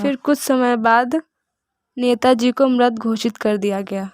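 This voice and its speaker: Woman, higher-pitched, monotone scripted read-aloud, earnest recitation, dry sound. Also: clean tone, no room sound. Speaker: narrator of an educational video. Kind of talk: scripted narration, read-aloud biographical essay.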